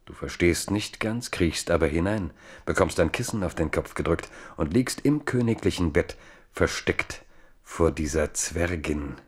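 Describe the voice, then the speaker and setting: Man, very low voice, narrator, scripted literary storytelling, radio drama. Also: expressive, unhurried, slightly rough.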